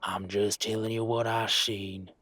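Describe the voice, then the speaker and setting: Man voicing a husky, cagey hired brigand. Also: fairly low pitch, level tone, measured pace, a bit bright, no echo. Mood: plain insistence. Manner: laconic, folksy, evasive. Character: rough, cagey male hired brigand, stubbornly casual. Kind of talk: fantasy roleplay audio drama.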